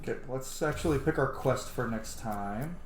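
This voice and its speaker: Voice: medium pitch, expressive, normal speed, echoey room, a little hiss or hum. A gaming host giving casual, chatty, reactive let's-play commentary. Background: video game music.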